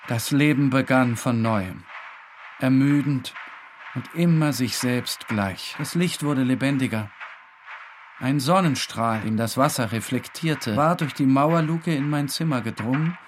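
A man giving a dramatic first-person literary narration, brooding, with phrases broken by pauses. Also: medium pitch. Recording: clear ambient background noise.

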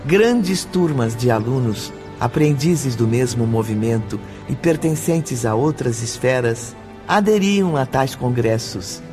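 A Brazilian man narrates a radio drama at a medium pitch. His delivery is measured and solemn, with music playing underneath.

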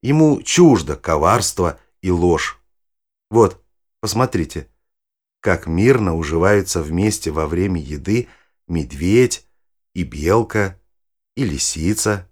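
A man playing a knowledgeable nature guide, narrating a children's audio play in a measured, expressive, explanatory way. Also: low voice, full character voice. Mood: expressive explaining. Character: knowledgeable guide showing children forest animals. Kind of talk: scripted storytelling narration for children.

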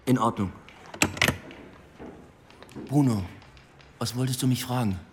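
A man's voice: mid-range voice, slow, noisy background, close to an everyday voice. An actor playing a dry-witted, self-assured, composed male police inspector in a radio drama. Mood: dry, businesslike. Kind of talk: German radio drama acting.

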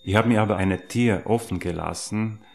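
A man's voice: on the low side, moderate pace, vocal fry, a little mellow. A man recalling his personal life in a documentary interview.

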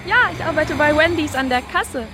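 An actress playing a flippant young daughter of a chief who interprets in an offhand, casual way in a comic radio drama. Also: female voice, high voice, moderate pace, lightly acted. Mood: breezy, offhand. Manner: casual, offhand, hesitant translating. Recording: music behind the voice.

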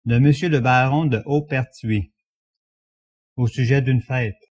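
Man playing a formal prison attendant, medium pitch. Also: steady tone, measured pace, a little mellow, husky. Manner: formal, announcing. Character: formal, deferential prison attendant announcing a visitor. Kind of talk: French audio drama acting.

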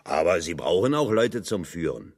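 Deep, gruff, sardonic metalworker. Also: male voice, big pitch swings, normal speed, exaggerated voice. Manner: gruff, wry, plain-spoken. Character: stocky, blunt, sardonic metalworker. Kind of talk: German radio drama dialogue.

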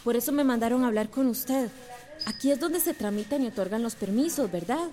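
A woman's voice: fairly high pitch, a little fast, dark tone, close to an everyday voice. An actress playing a determined young female schoolteacher, earnest and insistent, in a radio soap opera.

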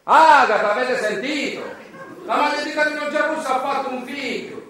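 A man's voice: very high-pitched, normal speed, fairly bright tone, a little hiss or hum, pitched above the performer's own voice. A man playing gossiping village neighbours in a theatrical monologue, with a Sicilian accent and an exclamatory, singsong delivery. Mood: gossipy, excited. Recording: roomy echo, distant microphone.

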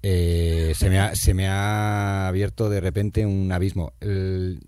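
A middle-aged man with a Spain Spanish accent hosts a radio talk. His voice is low and dark, and it is recorded dry. He speaks candidly and reflectively, with the odd filler. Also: flat delivery, takes it slowly, dry recording. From Madrid area, Spain. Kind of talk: casual radio chat.

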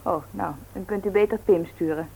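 A woman's voice: medium pitch, fairly quick, creaky, background noise, lightly acted. An actress playing a sensible, teasing young woman in a Dutch radio play. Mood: breezy, teasing.

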